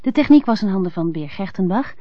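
A woman's voice: medium pitch, expressive, fast, no room sound. A woman radio announcer reading scripted closing credits for a radio play in a formal announcement style. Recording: dull lo-fi radio recording.

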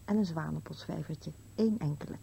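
An actress playing a wistful, plaintive elderly wife in a radio play, questioning insistently and sometimes muttering. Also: female voice, low-pitched, brisk, vocal fry, dark tone, husky, heavily acted, poor audio. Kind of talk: Dutch-language radio play acting.

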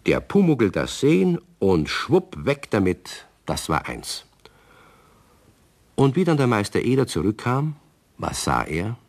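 Man telling a kids story, really low voice but lively, calm storyteller vibe. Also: a little slow. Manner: calm, storytelling, addresses young listeners. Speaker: narrator. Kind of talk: storybook narration for children.

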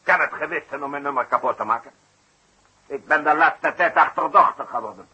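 A man's voice: fairly high pitch, brisk, pushed and bright, slightly rough, dull audio, full character voice. An actor playing a vain, hot-tempered male circus knife thrower who talks in a pompous, bossy way in a 1960s radio play. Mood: outraged.

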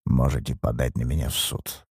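A blunt, cold private detective, as the narrator plays him, low and quiet, set back slightly from the mic. He speaks well below the reader's usual pitch and stays hushed. The recording is dry and close. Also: flat delivery, fairly quick, slightly rough, no echo. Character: hard-boiled male private detective, cool and blunt.

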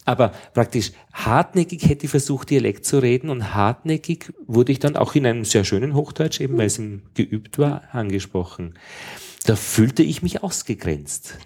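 A man's voice: mid-range voice, animated, moderate pace, darkish tone, slightly rough. A man with an Austrian accent, a radio interviewer from Upper Austria, telling anecdotes in a rambling way with many restarts. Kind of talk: casual anecdotal conversation.

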